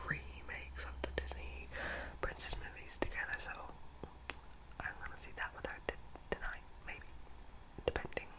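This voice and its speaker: Young woman in a close-up whisper. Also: rough recording. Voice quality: breathy unvoiced whisper. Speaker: ASMR video creator. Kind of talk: whispered ASMR, casual chat and storybook reading. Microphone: close-up microphone.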